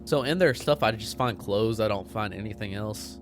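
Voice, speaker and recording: A man's voice: fairly low pitch, normal speed. A man playing a tabletop roleplaying game, chatting casually and asking questions as he thinks things through. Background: background music.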